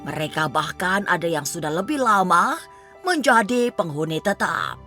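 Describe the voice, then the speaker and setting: Woman narrator for a ghost show, reading a script, sharp bright voice, bit of music under it. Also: lowish voice, sing-song, moderate pace.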